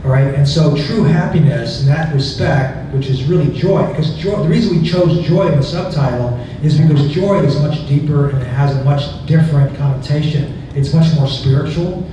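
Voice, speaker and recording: A man's voice: a little high, normal speed, hardly any pauses. A man presenting his book to an audience, talking conversationally with restarts and chuckling asides. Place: museum event hall. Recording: echoey hall from far off, noisy.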